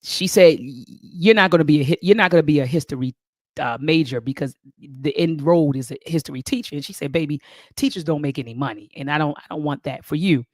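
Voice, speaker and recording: A woman's voice: deep voice, a little fast, darkish tone, dry recording, studio quality. A middle-aged woman with an American accent, telling personal stories conversationally as an interview guest, emphatic, repeating phrases and checking in with listeners. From Baltimore County, Maryland, United States. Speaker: interview guest, spiritual speaker.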